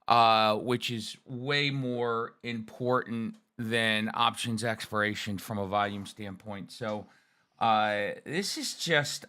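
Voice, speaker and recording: A man with a hoarse, raspy voice from a cold, giving energetic, folksy live market commentary. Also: medium pitch. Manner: energetic, folksy, lots of fillers. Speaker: trading show guest.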